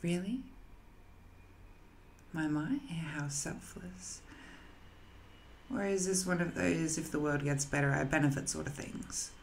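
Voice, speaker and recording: A woman acts out an ancient, banished villainess in an intimate roleplay drama. Her voice is lowish and very unhurried, breathy and coy, with a lonely, seductive slyness. There is some noise behind her. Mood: teasing, seductive. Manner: slow, breathy, coy, often dropping to a whisper.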